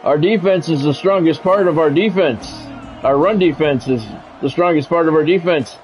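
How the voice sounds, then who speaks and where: Higher-voiced man calling football play-by-play. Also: normal speed, soft background music. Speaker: video game streamer and play-by-play commentator. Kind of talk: live sports play-by-play commentary over a football video game. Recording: dull, lo-fi mic with faint background noise.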